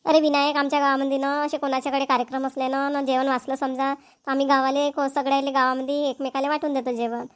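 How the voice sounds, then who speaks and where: A woman's voice: high-pitched, level tone, quick pace, natural voice. A woman voicing a talkative, homely village housewife in a cartoon, with a rural Varhadi accent and a folksy, emphatic way of explaining things. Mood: chatty, explaining. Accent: rural Maharashtrian, Varhadi. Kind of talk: Marathi village family cartoon dialogue.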